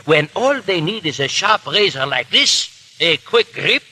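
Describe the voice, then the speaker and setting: Jovial, bloodthirsty barber, high and animated, old radio drama. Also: male voice, a little slow, heavily acted. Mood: gleefully grisly. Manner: chatty, relishing gory talk. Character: jovial, bloodthirsty French barber chatting while he shaves. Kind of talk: 1930s radio acting.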